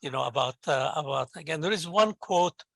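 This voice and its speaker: Bright-voiced older Lebanese man. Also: a little high, animated, brisk, creaky, no room sound. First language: Arabic. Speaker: invited guest, professor and scientist. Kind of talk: conversational interview answer, storytelling.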